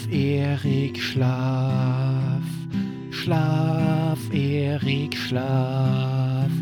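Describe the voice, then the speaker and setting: Bedtime-story rabbit played by a man: raised pitch, playful, teasing, protective of his little friend. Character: teasing but caring toy rabbit, fatherly boastful companion.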